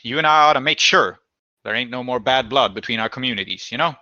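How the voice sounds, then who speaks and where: Guy doing a plain-talking street gangster in a tabletop game, real sharp tense voice, bit muffled. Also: medium pitch, no echo. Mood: earnest, coaxing. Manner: folksy, plain-spoken, halting, repeating himself. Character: gruff streetwise gang man offering a truce. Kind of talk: improvised tabletop roleplay.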